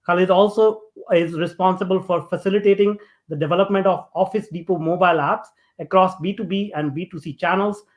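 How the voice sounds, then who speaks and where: A man's voice: high voice, steady tone, fairly quick. A man hosting an interview show, reading a formal guest introduction and then asking casual questions. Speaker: podcast host.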